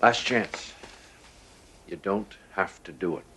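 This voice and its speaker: An actor playing a grave, protective general who speaks with earnest command in a science fiction television drama. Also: male voice, deep voice, takes it slowly, pushed and bright, husky, exaggerated voice. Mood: earnest concern.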